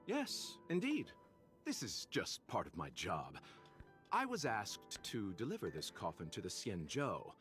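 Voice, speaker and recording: An actor voicing a composed, mysterious male merchant in a video game, talking smoothly with soft amused laughs. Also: fairly low pitch, wildly swooping pitch, measured pace, full character voice. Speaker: game character. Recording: game audio with faint music behind.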